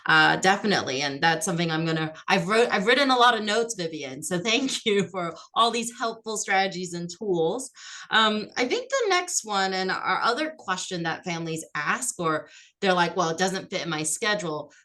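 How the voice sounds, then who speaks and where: A woman's voice: lowish voice, expressive, moderate pace, pushed and bright. A woman hosting a casual interview chat, friendly and chatty, with plenty of fillers as she asks questions. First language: not French. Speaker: host.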